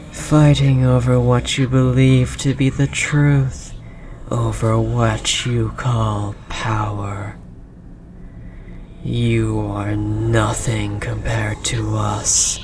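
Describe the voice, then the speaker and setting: Casting: ominous forest deity played by an actor for audio roleplay, extremely slow, breathy whispering, gently menacing and possessive, over noisy ambience. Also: medium pitch, little pitch movement. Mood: condescending, menacing. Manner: slow, solemn, archaic formal phrasing. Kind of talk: scripted roleplay audio drama monologue.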